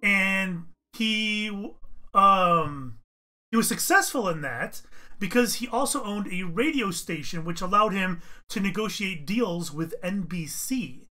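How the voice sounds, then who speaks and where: Bright-voiced upbeat male podcast host. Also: sits high, slow pace. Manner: energetic, joking, self-correcting. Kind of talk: casual podcast chat.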